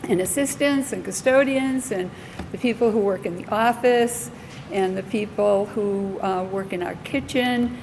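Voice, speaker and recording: Female school principal for an orientation welcome, addressing a group, mid-pitched, recorded in a reverberant hall with some noise. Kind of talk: welcome speech to an audience with introductions. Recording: echoey hall, noticeable background noise.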